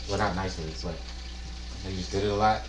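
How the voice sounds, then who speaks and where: A man with a deep voice from Hampton, Virginia, telling his story with hesitant ums and a low-key delivery. He sounds set back from the microphone in an echoey room with background noise. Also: steady tone, brisk, far from the mic. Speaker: barber. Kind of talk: casual storytelling.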